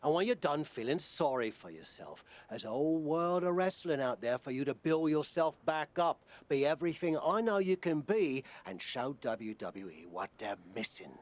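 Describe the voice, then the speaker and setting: A man's voice: on the high side, big pitch swings, moderate pace, few pauses. A man playing a blunt, supportive British wrestling promoter and mentor in a video game cut scene, with a wry manner. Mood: firm, concerned.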